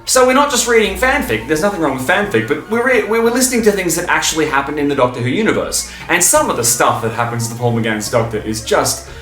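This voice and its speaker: A man hosting a fan video, talking in an enthusiastic, informal, emphatic way, stopping and starting with pauses for breath. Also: fairly high pitch, very animated, a bit bright, reverberant, faint music behind. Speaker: video host and fan reviewer.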